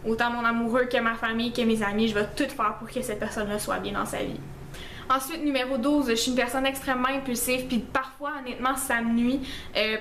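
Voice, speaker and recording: A woman's voice: fairly high pitch, a bit bright, roomy sound, slight background noise. A young woman with a Québécois French accent, chatting through a list of facts about herself in a casual, self-mocking way with lots of fillers. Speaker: beauty vlogger. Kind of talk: casual list-style vlog.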